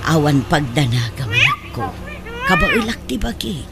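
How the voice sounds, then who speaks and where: Heavy noise surrounds an actress playing a patient older mother in a radio drama. Her Ilocano-accented delivery is animated and swooping, always soothing and placating. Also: low voice, moderate pace, creaky, heavily acted. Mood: reassuring.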